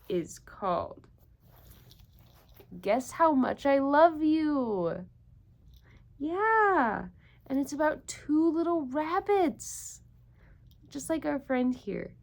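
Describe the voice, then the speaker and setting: Female children's storyteller, high and very lively, slow-paced, encouraging and full of questions. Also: gravelly. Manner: encouraging, asks questions and repeats them. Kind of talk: interactive picture-book read-aloud for young children.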